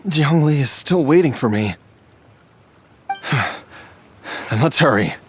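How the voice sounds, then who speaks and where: A man's voice: a little high, lively intonation, slight background noise. A man voicing an uneasy, haunted young man in a video game, speaking hesitantly. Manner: hesitant, slow.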